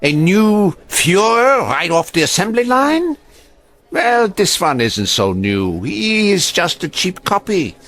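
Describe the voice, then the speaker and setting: An actor playing a bitter, scornful old man who mocks a young agitator, his delivery slow and swinging widely in pitch. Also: big pitch swings, fairly bright tone, exaggerated voice. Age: older. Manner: scornful, cutting, mocking.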